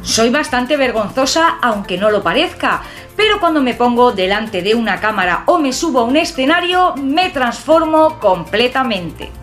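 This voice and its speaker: A woman in her fifties talks straight to her webcam, warm-humoured and jokey, her southern-tinged accent inherited from her father. Her voice sits a little high and swings widely as she tells her stories. Soft music plays faintly beneath. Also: moderate pace. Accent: southern Spanish-sounding, from an Extremaduran father. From Catalonia, Spain. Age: 50s. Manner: chatty, expressive, jokey, lively hand gestures. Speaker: urban exploration vlogger. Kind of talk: personal talk to camera. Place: small home room with shelves and a computer.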